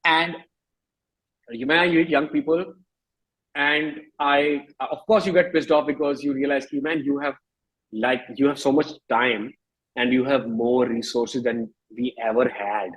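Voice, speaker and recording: A man in his thirties with an Indian accent speaks in a slightly husky voice at a medium pitch. He talks like a musician in a relaxed interview, casual in wording but emphatic, often repeating a phrase to drive it home. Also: a little slow, rough recording. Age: 30s.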